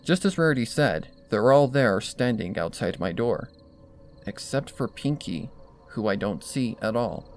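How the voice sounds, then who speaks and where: A man reading a scripted story aloud as narrator, in an expressive style. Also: mid-range voice, measured pace, faint music behind. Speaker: fan fiction reader.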